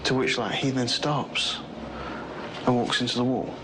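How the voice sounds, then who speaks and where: A man investigating stone tunnels, speaking in an animated way and often dropping to a whisper. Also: medium pitch, unhurried, background noise. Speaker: paranormal investigator.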